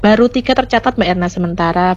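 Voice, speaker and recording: Woman, hoarse, friendly staffer reading out schedules and lists of numbers on a muffled phone-in line. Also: fairly low pitch, quick pace, a little mellow. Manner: friendly, reads out lists of figures. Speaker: blood donor unit staff. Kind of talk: radio phone-in report.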